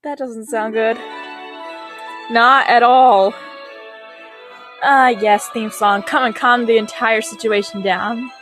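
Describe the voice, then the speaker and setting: A woman giving casual reaction commentary as a video host, excitable and full of exclamations. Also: on the high side, slow pace, long pauses. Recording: music and show audio behind.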